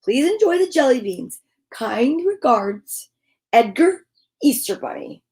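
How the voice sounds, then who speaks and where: Woman playing male storybook rabbit, haughty, huffy, drawn-out emphasis, high swooping voice. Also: big pitch swings, darkish tone, heavily acted. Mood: formal.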